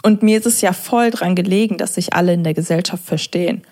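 A woman's voice: fairly low pitch, fast, a little mellow. A young woman in a casual discussion, explaining her view in a reasoned, conversational way.

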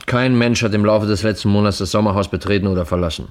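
An actor playing a plain-spoken, skeptical police detective and subordinate in a 1950s German radio crime drama, blunt and colloquial. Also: male voice, lowish voice, steady tone, quick pace, lightly acted. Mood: matter-of-fact.

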